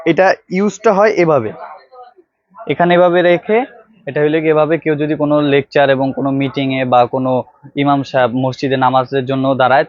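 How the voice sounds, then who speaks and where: A man with a Bangladeshi accent and a slightly high voice gives an informal product demonstration. He talks straight to viewers like a shop salesman. The clip-on mic sound is dry and a little dull. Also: no echo. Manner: salesman-like, addressing viewers directly. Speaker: electronics shop vlog presenter. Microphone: clip-on wired lapel microphone.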